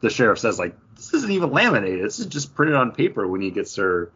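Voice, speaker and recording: A man's voice: medium pitch, huge pitch swings, a little fast, a bit bright, slightly rough. A man co-hosting a casual panel discussion, speculating aloud in a tentative way. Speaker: podcast co-host. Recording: dull lo-fi audio.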